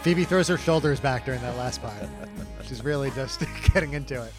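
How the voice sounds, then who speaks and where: A man's voice: medium pitch, brisk. A man bantering informally and jokingly as co-host of a music podcast. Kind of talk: casual banter. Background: pop-rock songs playing.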